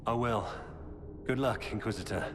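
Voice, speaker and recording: An actor playing a weary, earnest former knight turned defector, giving grave, urgent warnings in a video game. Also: male voice, fairly low pitch, normal speed, close to an everyday voice. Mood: grim, resolute. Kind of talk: dramatic video game dialogue. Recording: cavernous reverb with loud background noise.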